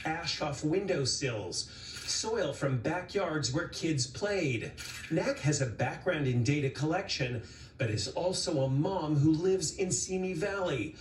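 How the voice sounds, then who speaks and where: A man working as an investigative TV reporter, narrating a scripted news story in a deliberate, emphatic way. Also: on the high side. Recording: roomy, distant sound with faint noise.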